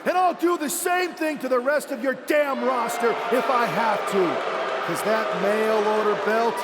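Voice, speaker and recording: An actor playing a brash, belligerent male champion wrestler, boastfully projecting an in-ring promo in a video game. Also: very high voice. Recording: echoing arena with loud crowd noise.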